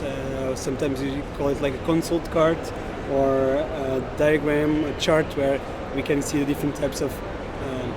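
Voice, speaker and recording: A man from Portugal with a Portuguese accent, explaining things as a dermatologist being interviewed, often pausing mid-sentence. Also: fairly high pitch. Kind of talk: conversational interview answers. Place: congress exhibition floor. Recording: loud busy congress-floor noise.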